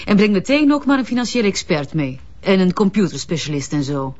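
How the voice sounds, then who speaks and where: Haughty, animated Flemish businesswoman. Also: medium pitch, brisk. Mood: defiant, scornful. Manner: cutting, dismissive, peppers speech with English. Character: haughty, defiant businesswoman under police questioning. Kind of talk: broadcast crime drama acting. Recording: dull, muffled drama sound.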